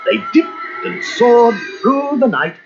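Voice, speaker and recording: A man narrating a scripted children's storybook in a dramatic, expressive way. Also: extremely high pitch, takes it slowly. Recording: muffled cassette with music behind.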